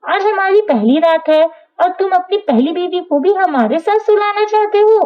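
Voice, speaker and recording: Cartoon crow bride acted by a woman: high voice, jealous, quick to anger, indignant; muffled sound. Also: expressive. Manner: indignant, sharp-tongued. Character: jealous, hot-tempered female crow, a new bride. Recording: dull, lo-fi cartoon audio.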